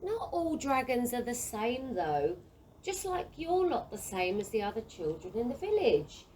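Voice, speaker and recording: A woman playing a gentle, reassuring village mother in an audio play. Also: on the high side, sing-song, a little slow, a little hiss or hum, poor audio. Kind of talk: community audio play acting.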